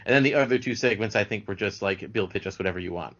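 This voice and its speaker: A man talking casually as a podcast guest, halting and repeating words as he goes. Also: fairly low pitch, fairly bright tone. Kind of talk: casual chat. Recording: dull lo-fi audio.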